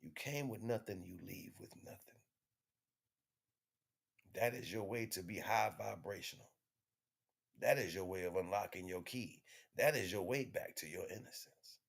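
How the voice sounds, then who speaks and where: A man delivering an impromptu spiritual monologue in an emphatic, repetitive, slangy street-preacher manner, with a husky voice. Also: fairly low pitch, normal speed, pauses often. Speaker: spiritual teacher streaming online.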